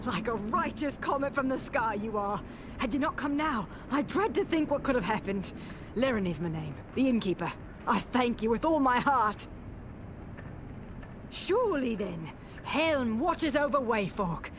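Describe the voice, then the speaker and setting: A woman's voice: a little high, lively intonation, a little slow, background noise. A woman playing a humble, pious village innkeeper in a video game, speaking with earnest, effusive gratitude. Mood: grateful. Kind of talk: video game dialogue acting.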